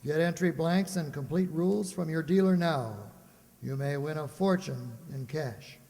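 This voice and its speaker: A man plays an old-time radio commercial announcer, emphatic and salesmanlike. His voice is fairly high and carries a long hall reverb. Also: normal speed, gravelly, dark tone. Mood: persuasive. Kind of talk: 1940s radio ad read.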